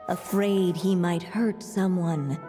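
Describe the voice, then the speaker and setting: A woman playing a solemn storybook narrator in a video game, measured and wistful, in a reverberant space. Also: fairly low pitch, steady tone, music in the background. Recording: long hall-like reverb.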